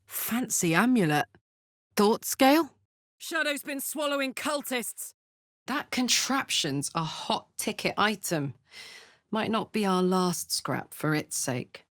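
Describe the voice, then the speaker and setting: A woman voicing a brash, warm-hearted and rowdy female adventurer in a video game, with blunt, informal and expressive delivery. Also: mid-range voice, slow, dry recording, studio quality. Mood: casual, teasing.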